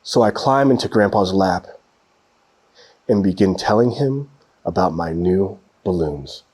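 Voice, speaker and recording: A man reading a children's picture book aloud in an expressive storytelling style. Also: sits low, measured pace, husky.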